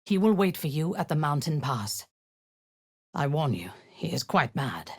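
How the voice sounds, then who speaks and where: An actress voicing a cold, imperious woman warrior-commander and zealous cultist in a video game, speaking in a low, husky, half-whispered menace. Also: sing-song, a little slow, dry recording, clean studio sound, exaggerated voice. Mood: menacing. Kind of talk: video game character acting.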